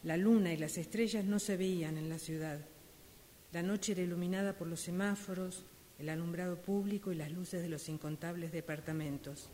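A man's voice: high-pitched, fairly even intonation, normal speed, darkish tone. A man reading a scripted story as a radio drama narration exercise. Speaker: radio drama workshop participant. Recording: clear background noise.

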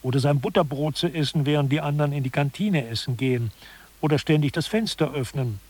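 A man's voice: fairly high pitch, a little fast, a little mellow, husky. A man narrating a satirical radio lecture in an articulate, matter-of-fact way. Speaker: second narrator.

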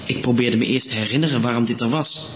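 A man's voice: medium pitch, fairly even intonation, fast talker. A man delivering a brooding first-person monologue in short, terse sentences, like an audio drama. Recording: roomy echo with clear noise.

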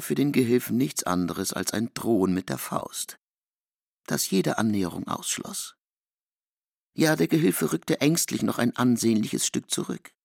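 A man with a gravelly voice narrating literary prose and quoted dialogue in a German radio play. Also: lowish voice, no echo, clean studio sound.

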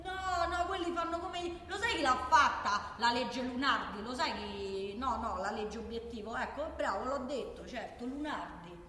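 A woman's voice: on the high side, normal speed, few pauses, sharp tone. An actress playing a feisty working-class woman with a Roman accent, arguing colloquially and emphatically in a theatrical radio monologue. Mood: exasperated, insistent. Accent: Roman Italian. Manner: colloquial, argumentative, emphatic, with rhetorical questions. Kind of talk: theatrical radio monologue, one side of a conversation. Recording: echoey reverberant hall, faint background noise.

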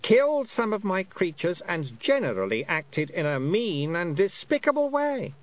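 A haughty fairy Queen, acted out by a male narrator for an audiobook. The voice sits high and swings widely, cold and condescending. The words are drawn out with mock politeness and scornful sweetness. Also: sing-song, normal speed. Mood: icy, affronted. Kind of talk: audiobook character voice.

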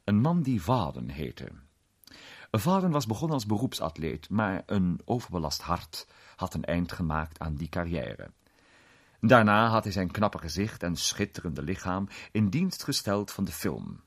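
A wry, urbane clubman narrating a radio play. The voice is very low and rough-edged, with a lively, swooping intonation. He delivers the tale with dry humour. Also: measured pace, heavily acted. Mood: wry, amused. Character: male London club member, urbane, wry narrator.

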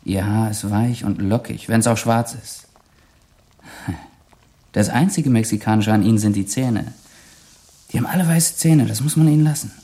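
An actor playing a cocky, penniless drifter and mechanic with a casual, cheeky way of talking in a radio drama. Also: male voice, lowish voice, normal speed, long pauses, mellow. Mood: flirting, brash. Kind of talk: naturalistic radio drama dialogue. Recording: faint kitchen sizzle and ambience.

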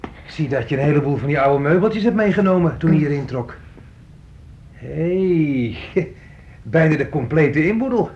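A man's voice: fairly high pitch, animated, normal speed, pauses often, darkish tone, a little hiss or hum. An actor playing a menacing, bitter grown son who taunts and bosses his mother around in a radio play. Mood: sardonic. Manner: taunting, bossy, mock-friendly. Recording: muffled old radio play recording.

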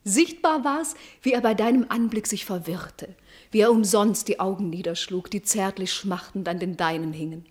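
Actress as a formal, loyal royal confidante. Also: female voice, medium pitch, normal speed, a little mellow, natural voice. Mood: reassuring. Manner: formal, declamatory. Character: loyal, encouraging lady-in-waiting and confidante of a princess. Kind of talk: classical German verse radio drama acting.

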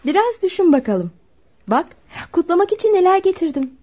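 A woman's voice: extremely high pitch, big pitch swings, fairly quick, dark tone. An actress playing a modern, rich young woman in a radio drama, playful, coaxing and coquettish. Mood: playful, cheerful. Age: young adult. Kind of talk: Turkish radio drama acting. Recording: dull, lo-fi radio drama recording.

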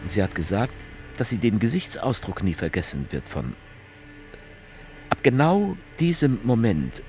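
An actor playing an evasive, self-justifying politician in a theatrical speech, speaking emphatically with deliberate pauses. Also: male voice, fairly low pitch, very animated, a little mellow, soft background music. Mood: reminiscing. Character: male politician orating, evasive, self-justifying, folksy storyteller.

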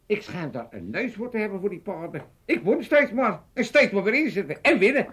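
A man's voice: high-pitched, sing-song, fairly quick, fairly bright tone, husky, exaggerated voice. An actor playing a chatty, easygoing old gambling father with an Amsterdam accent in a comic radio play, telling things in a hesitant, roundabout way. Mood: boastful, excited. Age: older.

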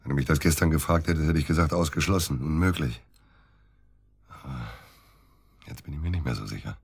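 An actor playing a disgruntled middle-aged provincial surgeon, grumbling and curt, in a naturalistic radio drama. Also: male voice, a bass voice, fairly quick, vocal fry, slightly rough, no room sound, full character voice. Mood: guarded, uneasy. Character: disgruntled middle-aged provincial surgeon, worried about his missing wife.

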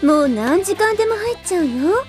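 A woman's voice: very high-pitched, big pitch swings, a little fast, music in the background. A woman playing a cheerful, teasing, easygoing girl in an anime audio drama. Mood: dreamy, playful.

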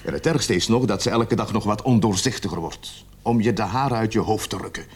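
An actor playing a weary, grumpy police sergeant in a radio play, talking in a gruff, grumbling manner. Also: male voice, lowish voice, brisk. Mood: weary, irritated.

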